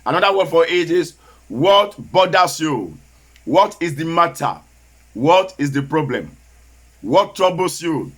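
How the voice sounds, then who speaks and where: Emphatic Nigerian preacher with a bright voice. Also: male voice, on the high side, expressive. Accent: Nigerian, Yoruba. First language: Yoruba. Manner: slow, emphatic repetition of key phrases; switches into Yoruba. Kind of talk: sermon.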